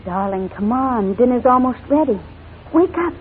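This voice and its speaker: A woman playing a gentle, caring wife in 1940s radio drama, speaking earnestly and affectionately. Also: a little high, expressive, moderate pace, loud music behind the voice, lightly acted. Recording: tinny, noisy 1940s radio recording.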